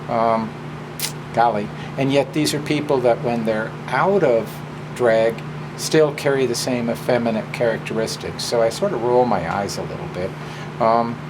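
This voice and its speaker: Male presenter, informal and deliberate, unhurried delivery with some gravel in it. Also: mid-range voice. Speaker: presenter and drag performer. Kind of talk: informal personal talk and story hour introduction. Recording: clear steady hum of background noise.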